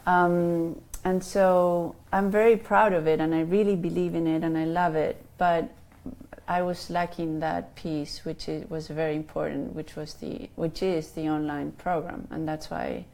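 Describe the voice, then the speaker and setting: Chilean woman in her fifties, life coach, dark mellow voice, hesitant interviewee. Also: low voice, little pitch movement, measured pace. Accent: Chilean Spanish. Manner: hesitant, many ums and restarts. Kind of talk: interview answers.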